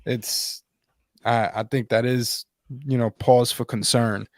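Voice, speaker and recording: A man hosting a casual political chat over a video call, pausing with lots of fillers and restarts. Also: medium pitch, level tone, no echo. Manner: halting, many fillers and restarts. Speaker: podcast host.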